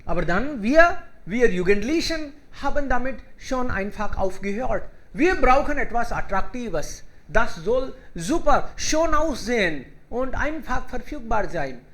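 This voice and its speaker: This is a man with a very high, bright voice who hosts a conversation club over a video call. He speaks in simple, halting sentences and pauses often to welcome the participants. His tone stays clear and bright throughout. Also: big pitch swings. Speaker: host of an online German conversation club. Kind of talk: casual group conversation in learner German.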